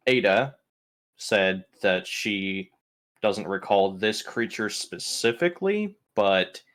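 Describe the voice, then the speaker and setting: A man's voice: on the low side, fairly bright tone. A man casually recapping a tabletop role-playing session as one of the players. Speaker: player in an online tabletop RPG.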